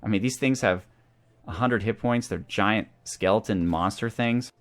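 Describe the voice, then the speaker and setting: A man's voice: lowish voice, normal speed. A man hosting a gaming channel, talking through a strategy game and thinking aloud as he goes. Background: video game music.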